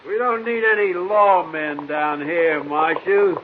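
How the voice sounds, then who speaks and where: High-voiced sneering western outlaw boss. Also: male voice, slow pace. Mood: threatening. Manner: taunting, curt. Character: menacing, sneering outlaw gang leader of the West. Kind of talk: 1950s western radio drama acting. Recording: muffled vintage radio recording, light noise.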